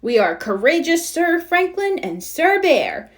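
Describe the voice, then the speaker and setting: A woman's voice: very high voice, big pitch swings, unhurried, pitched above the performer's own voice, exaggerated voice. A woman voicing an eager, careless young boy turtle from a children's storybook. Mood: bold, cheerful.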